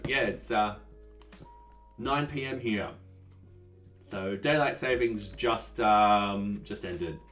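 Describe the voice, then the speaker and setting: A man's voice: fairly low pitch, recorded from a distance. A man hosting a casual live coding stream, answering chat in an easygoing way that often trails off. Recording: voice a bit off-mic, soft music behind.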